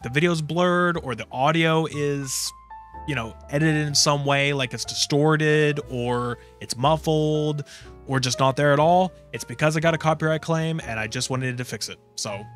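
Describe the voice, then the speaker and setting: A man's voice: a little high, normal speed, fairly bright tone. A man chatting casually and informally to viewers as the host of a reaction video, a little self-deprecating. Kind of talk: casual chat to camera. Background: music.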